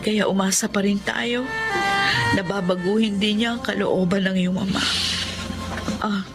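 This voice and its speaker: A woman's voice: medium pitch, steady tone, a little slow, over loud music, lightly acted. A woman playing a devoted, long-suffering young wife and housemaid in a Filipino radio drama, earnest and emotional. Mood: tender.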